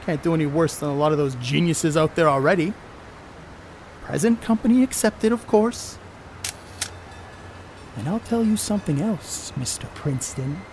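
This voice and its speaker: A man's voice: on the high side, normal speed, long pauses, a little mellow, slight background noise, natural voice. A man playing a boastful, crude star ballplayer in a 1930s stage play, cocky and needling in folksy slang. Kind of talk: amateur stage play acting, 1930s period dialogue.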